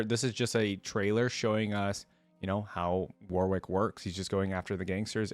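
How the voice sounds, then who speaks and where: Low-voiced man reacting enthusiastically. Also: no room sound. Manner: enthusiastic, rambling asides. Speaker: reaction video host. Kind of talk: casual reaction commentary.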